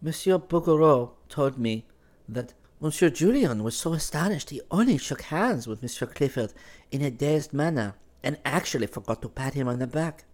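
A man's voice: mid-range voice, animated, normal speed, pitched above the performer's own voice, lightly acted. A man voicing a teasing young Parisian woman, sly and merry. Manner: lightly mocking, airy.

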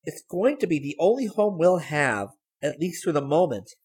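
A man's voice: on the high side, sing-song, moderate pace, a little mellow, husky, pitched above the performer's own voice. A man voicing an eager, bossy teenage girl in a storybook, with bold, impatient, exclamatory delivery.

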